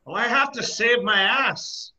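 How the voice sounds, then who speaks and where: A man voicing a gruff ogre, an impatient and reluctant rescuer who talks in dry, blunt, dismissive lines. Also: very high-pitched, unhurried, sharp tone, heavily acted. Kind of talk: comic animated-film character acting.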